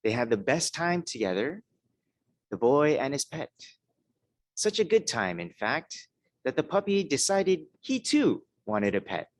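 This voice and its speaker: A hoarse man, a minister, giving a conversational talk and then reading a children's story, often checking in with his listeners. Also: medium pitch, very animated, measured pace. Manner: conversational, keeps checking in with "okay?" and "right?".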